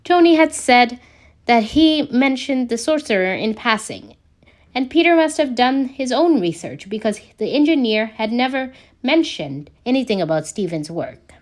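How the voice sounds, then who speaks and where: A woman reading a scripted story aloud as a narrator, in an expressive storytelling way. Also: a little high, normal speed. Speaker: podfic narrator reading fan fiction.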